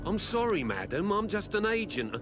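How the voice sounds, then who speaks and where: An actor playing an evasive, stubborn merchant's apprentice in a video game, deferential and full of whiny excuses. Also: male voice, high voice, sing-song, normal speed. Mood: defensive. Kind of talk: scripted video game dialogue. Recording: music behind the voice.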